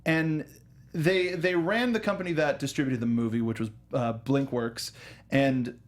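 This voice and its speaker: A man's voice: medium pitch, fairly even intonation, measured pace. A man hosting a casual film and game discussion, talking with a fan's enthusiasm and often restarting sentences mid-thought. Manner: enthusiastic fan talk. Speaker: host of a film review show. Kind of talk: casual panel discussion of films and games.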